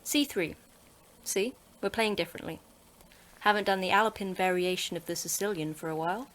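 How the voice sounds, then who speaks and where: A woman's voice: mid-range voice, moderate pace, fairly bright tone, close to an everyday voice, rough recording. A woman playing a terse, sarcastic facility manager. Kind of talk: audio drama acting.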